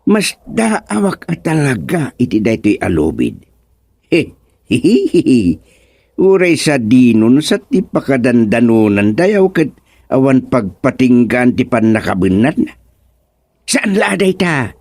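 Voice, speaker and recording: An actor playing a stubborn, giggly old father in a comedic radio drama, exclamatory and repetitive with drawn-out words. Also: mid-range voice, big pitch swings, a little mellow, no room sound. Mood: playful, puzzled.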